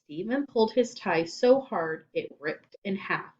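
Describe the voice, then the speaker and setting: A woman storyteller reading a children's book aloud in animated scripted narration. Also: medium pitch, a little slow, highs cut off, slight background noise.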